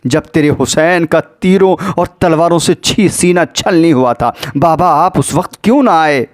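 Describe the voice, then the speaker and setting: A man's voice: a little high, lightly acted. A man voicing a grief-stricken sister in a religious lament, pleading and reproaching with anguished repetition. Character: grief-stricken pleading sister guarding her slain brother.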